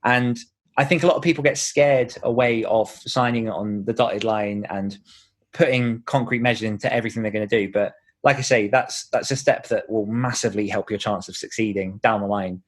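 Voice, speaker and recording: A man's voice: fairly low pitch, fairly quick. A man speaking casually as a podcast guest, an author who explains things in a chatty way with imagined examples. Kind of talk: casual interview conversation.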